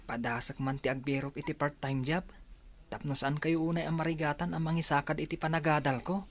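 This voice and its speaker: A woman's voice: low voice, steady tone, brisk, slight background noise. A woman playing a dutiful, considerate young student, earnest and polite, in an audio drama. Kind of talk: radio drama dialogue.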